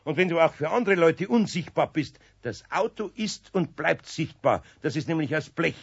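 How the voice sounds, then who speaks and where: A good-natured older craftsman talks with a Bavarian accent and a lively, gravelly voice. He is homely and fatherly, patient with a touch of sternness. The sound is a slightly dull 1970s radio play. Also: a little high, normal speed, exaggerated voice. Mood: gently stern. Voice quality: husky, gravelly. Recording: dull vintage radio recording.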